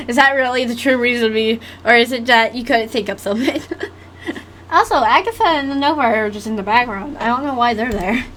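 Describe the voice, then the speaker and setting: High-voiced, giggly woman. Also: moderate pace, a bit bright, a little hiss or hum. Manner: giggly, rambling, casually sweary. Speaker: animator reviewing own old work. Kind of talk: casual reaction commentary.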